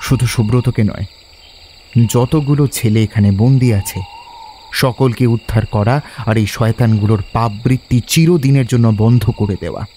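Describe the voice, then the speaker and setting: An actor playing a resolute, quick-witted schoolboy adventurer in an audio drama, speaking in a decisive, earnest way. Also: male voice, medium pitch, mellow, slight background noise, natural voice. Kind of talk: Bengali audio drama acting.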